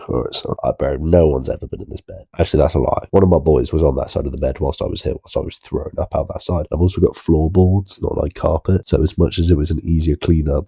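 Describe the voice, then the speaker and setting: Give me a young man with a gravelly, extremely low voice, drowsily mumbling crude jokes from his bed. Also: mellow, no room sound. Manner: mumbled, drowsy and self-mocking. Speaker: streamer reacting to a video. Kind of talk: crude casual reaction commentary. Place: bedroom, in bed.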